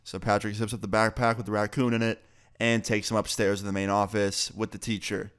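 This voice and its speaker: A man's voice: on the low side, level tone, fairly quick, a bit bright, clear, resonant tone. A young man, a bit sick, telling a casual, profane story on his vlog. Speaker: YouTuber.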